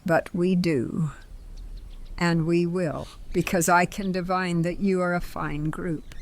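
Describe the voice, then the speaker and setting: A woman voicing a strange stranger who speaks slowly and formally, half whispering. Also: on the low side, steady tone, slight background noise, pitched below the performer's own voice.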